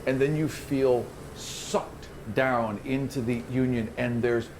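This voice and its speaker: A man's voice: medium pitch, steady tone, slow pace. A man speaking as a game master, narrating and chatting conversationally with players at a tabletop game. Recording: clear background noise.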